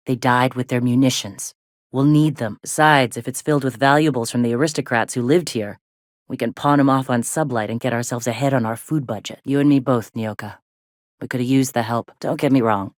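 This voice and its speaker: A woman voicing a gruff, plainspoken middle-aged frontier medic in a video game, with a blunt, folksy way of talking. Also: a bass voice, darkish tone, dry recording, studio quality. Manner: folksy, blunt, drawling slang with swearing. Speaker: video game character, medic and deputy leader.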